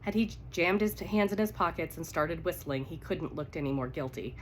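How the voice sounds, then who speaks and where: A woman's voice: low voice, animated, fairly quick, slight background noise, rough recording. A woman with a cold reads romance fiction aloud in a casual, unedited way. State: congested with a cold. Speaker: live reader of romance novels. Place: at home by a fire.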